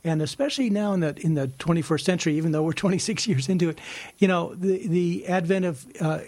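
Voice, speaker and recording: A man with a slightly raised pitch explains with eager enthusiasm, often repeating and restarting words. The sound is dry and close. He speaks in a casual interview manner. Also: fast, a little mellow, dry recording. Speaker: ukulele club member.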